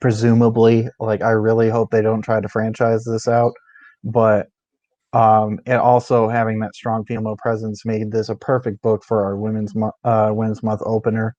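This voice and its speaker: A man's voice: fairly low pitch, completely flat delivery, normal speed, dark tone, no echo, lo-fi sound. A man co-hosting a book discussion stream in a casual, informal, conversational way. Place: indoors, live stream.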